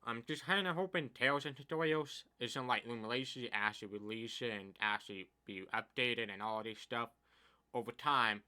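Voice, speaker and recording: A young deaf man in his twenties talks with a very bright, sharp edge at an ordinary pitch. His talk is casual and rambling, a steady stream of complaints whose loudness rises and falls unevenly. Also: moderate pace, smooth. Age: 20s. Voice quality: deaf speaker's speech. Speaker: gaming YouTuber. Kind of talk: casual commentary vlog.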